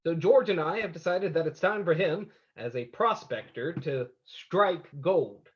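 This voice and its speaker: A man playing a resolute, cryptic crime-fighting sleuth in an old-time radio drama, measured and decisive, recorded a little dull. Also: a little high, animated. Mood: determined. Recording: slightly dull, lo-fi sound.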